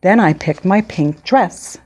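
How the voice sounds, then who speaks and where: An excitable little girl of six tells her own picture-book tale, acted out by a woman. The voice is low and soft-edged for a child. She is happy at heart but quick to get cross. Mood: cheerful, recounting. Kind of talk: picture-book read-aloud.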